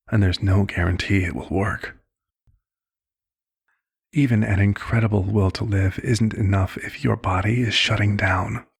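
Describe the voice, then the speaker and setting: A man playing a calm, caring vampire doctor in an ASMR roleplay, speaking in a soft, measured, reflective way. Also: fairly low pitch, creaky, slightly rough, dry recording, clean studio sound. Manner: measured, hushed, reflective storytelling. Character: calm, caring male vampire doctor recounting a past case.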